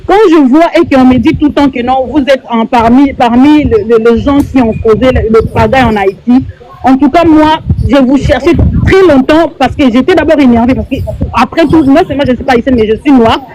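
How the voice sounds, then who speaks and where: A woman's voice: sits high, brisk, muffled recording, background noise. A woman from Congo asks questions in a live online conversation. She speaks in earnest and repeats words for emphasis. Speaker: interviewer.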